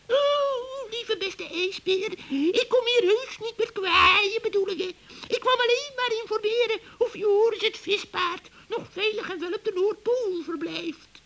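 An extremely high, shrill old witch, acted out by a man for a children's radio play. She is sly and wheedling, dragging out her fawning pleas. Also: bright, forceful tone, heavily acted, poor audio. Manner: drawn-out pleading and fawning.

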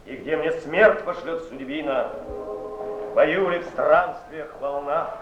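An actor playing a solemn, sardonic poet, reciting in a drawn-out theatrical declamation. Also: male voice, on the high side. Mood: solemn, elevated. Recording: echoey, muffled 1970s stage recording with music.

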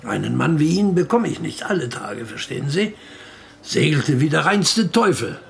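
An actor playing a talkative, excitable ship chandler with a gravelly voice, telling things emphatically in a German radio play. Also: male voice, fairly high pitch, moderate pace. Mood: aggrieved, chatty. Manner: garrulous, emphatic storytelling.

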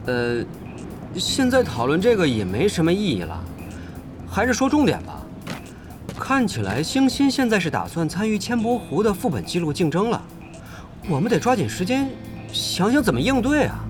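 A pragmatic guild leader in a radio drama. His voice is high and extremely animated yet businesslike, with music underneath. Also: moderate pace. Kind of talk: Chinese radio drama acting.

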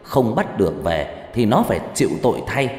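A man's voice: fairly low pitch, sing-song, moderate pace. A man voicing an angry old village sorcerer who scolds and commands bluntly in a dramatized horror story. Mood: angry, scolding. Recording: echoey hall reverb.

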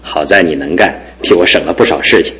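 A man's voice: low-pitched, fast, rough recording. An actor voicing a good-natured, joking young man who is a bit pampered, in a studio radio drama. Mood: earnest. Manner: jokey and warm-hearted, earnest when serious. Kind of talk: 1960s-70s studio radio drama acting.

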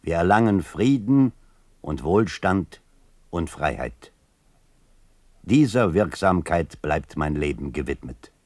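An actor playing a resolute, idealistic writer in a radio play, declaiming in a solemn, measured manner. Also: male voice, low-pitched, very animated, a little mellow, no echo, heavily acted. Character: resolute idealistic male writer proclaiming his life's mission.

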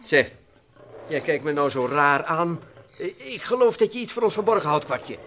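A man's voice: fairly high pitch, big pitch swings, moderate pace, fairly bright tone, slight background noise. An actor playing an easygoing, sensible male adventurer in an old children's radio play, dryly teasing and conciliatory. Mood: suspicious.

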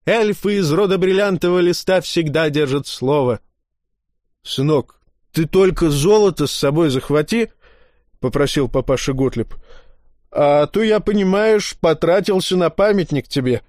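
A man reading a humorous fantasy tale aloud as an audiobook narrator, lively in his storytelling. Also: on the high side, moderate pace, dry recording, studio quality.

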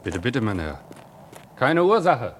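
An actor playing a young gentleman in a radio play, stiffly polite and irritably impatient, on a noisy vintage recording. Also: mid-range voice, big pitch swings, unhurried. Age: young adult. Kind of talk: 1960s German radio play acting.

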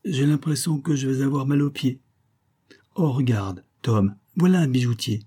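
A man's voice: mid-range voice, normal speed, warm tone, slightly rough, voice pushed up, lightly acted. A man voicing a stubborn, nagging tourist wife in a plaintive, exclamatory manner. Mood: weary complaint.

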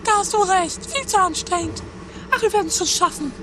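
A woman's voice: very high voice, brisk. A woman playing a scatterbrained, whiny, dramatic sister in a trashy comedy radio play. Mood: whiny, dithering. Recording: noisy amateur 1980s radio play recording.

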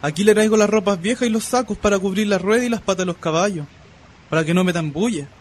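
A Chilean actor playing a working-class husband who gives urgent instructions in a radio drama. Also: male voice, sits high, fast talker, natural voice. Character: working-class Chilean husband preparing a secret land takeover. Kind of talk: radio play acting. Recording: noisy recording with outdoor ambience.

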